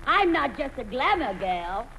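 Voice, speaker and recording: A woman playing a brassy, smug, dim glamour gal in an old-time radio comedy, with a gravelly voice. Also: sits high, big pitch swings, a little slow, heavily acted. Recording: noisy old radio broadcast.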